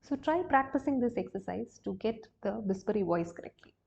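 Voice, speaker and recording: A woman's voice: medium pitch, animated, fairly quick, vocal fry, a little mellow, lo-fi sound. A woman with an Indian accent teaching a singing lesson, explaining exercises step by step. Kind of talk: instructional lesson with sung demonstrations.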